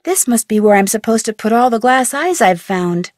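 A woman voicing a plucky, curious young detective in a video game, with an American accent and perky, clearly enunciated, expressive delivery. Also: fairly high pitch, normal speed, dry recording, clean studio sound, lightly acted. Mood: musing, focused. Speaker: game character and tutorial guide.

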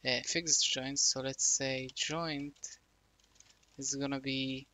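Man, very slow, hesitant, filler-heavy, casual tutorial narration, desk mic. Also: medium pitch, flat delivery, sharp tone. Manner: slow, halting, thinking aloud with many fillers. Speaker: coding tutorial presenter. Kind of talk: casual screen-recorded coding tutorial.

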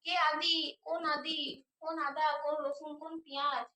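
A woman's voice: high-pitched, little pitch movement, normal speed, sharp tone, echoey room. A woman on the receiving end of a phone call, answering dismissively and sarcastically. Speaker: prank call target.

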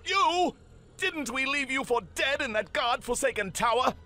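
An actor voicing a haughty, decadent villain knight in a video game, pompous and mocking with a drawn-out, theatrical delivery. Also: male voice, extremely high pitch, expressive, sharp tone, full character voice. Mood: indignant, mocking.

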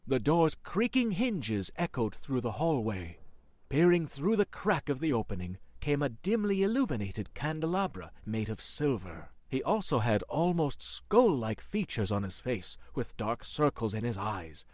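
A man's voice: mid-range voice, big pitch swings, a little slow, a little mellow, no room sound. A man playing an ominous storyteller, narrating a horror radio play with scripted drama.

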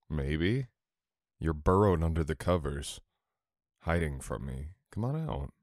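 A man playing a sweet, teasing boyfriend in an intimate ASMR audio roleplay, coaxing softly and unhurriedly. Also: rumbling low, very animated, takes it slowly, no echo, clean studio sound, full character voice. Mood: tender coaxing.

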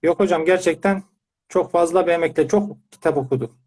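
A man's voice: on the high side, a little fast, darkish tone. A man speaking as a parent, giving a conversational testimonial in an interview.

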